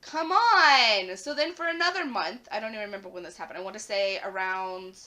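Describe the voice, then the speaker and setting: Raspy-voiced chatty woman with a bright tone. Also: medium pitch, expressive, fairly quick. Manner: chatty, lots of "like" fillers, rising emphasis. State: hoarse after flu, coughing. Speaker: vlogger. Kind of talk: casual talk to camera.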